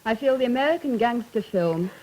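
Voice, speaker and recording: Young girl on an old tv panel, a bit breathy, articulate and opinionated. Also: on the high side, expressive, brisk, a little mellow, poor audio. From England. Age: late teens. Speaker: student delegate.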